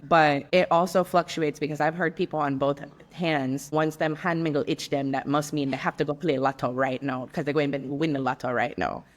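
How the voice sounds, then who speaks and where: Animated woman with a Jamaican accent. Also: low voice, in a large hall. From South Florida, US, raised partly in Jamaica. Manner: animated storyteller, lots of "like". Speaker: guest. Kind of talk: casual interview chat.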